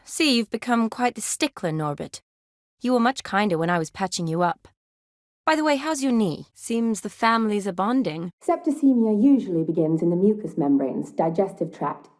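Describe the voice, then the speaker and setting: A spirited young woman medic from a fantasy video game, played by an actress. She talks in a wry, teasing, practical way at a middle pitch, recorded close and dry. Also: normal speed, no room sound, close to an everyday voice. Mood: teasing, friendly, then clinical, matter-of-fact. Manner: conversational, wry, expressive.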